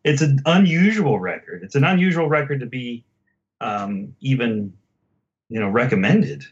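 A man in a casual album discussion as a podcast guest, speaking haltingly and often circling back to rephrase. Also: medium pitch, expressive, muffled recording.

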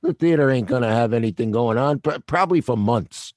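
A man playing a gruff New night watchman. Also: medium pitch, animated, brisk, darkish tone, no echo, studio quality, natural voice. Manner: gruff, blunt, working-class New York speech. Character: gruff middle-aged male theater night watchman. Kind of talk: audio drama acting.

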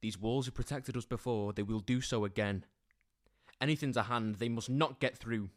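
Mid-pitched, troubled actor as a stubborn defender. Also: male voice, normal speed, close to an everyday voice. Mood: urgent resolve. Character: anxious, stubborn male defender sheltering in sanctuary. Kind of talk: audio drama acting.

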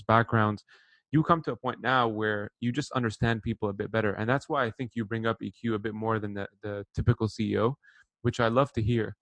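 A man's voice: on the low side, dry recording. A man from Lebanon hosting a podcast interview, chatting casually and in an informal, chummy way. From born in Lebanon, grew up partly in Saudi Arabia. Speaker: podcast host interviewing a guest.

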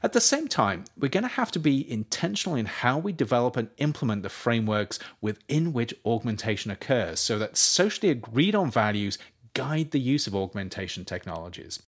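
A man reading his own nonfiction essay as scripted narration, in measured phrases with emphatic stresses. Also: medium pitch, sing-song. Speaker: author reading their own book.

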